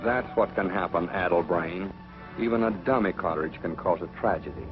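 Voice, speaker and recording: A man's voice: low voice, moderate pace, music in the background, close to an everyday voice. A man narrating a 1940s training film as a stern military flight instructor, authoritative, scolding and didactic. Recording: muffled noisy 1940s film soundtrack.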